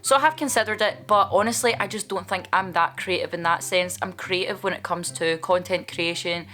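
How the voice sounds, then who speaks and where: A woman's voice: lowish voice, a little fast, pushed and bright, soft background music. A woman, vlogging in a chatty, candid way as she answers viewer questions, with playful asides. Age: 20s.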